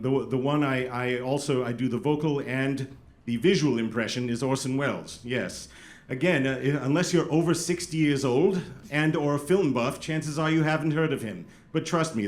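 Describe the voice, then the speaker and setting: A man's voice: medium pitch, a little hiss or hum. Dry, self-mocking man on a club stage. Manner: dry, self-deprecating, chatty with the crowd. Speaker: stand-up comedian. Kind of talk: stand-up comedy set. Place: comedy club stage with a laughing audience. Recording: roomy club sound with loud background.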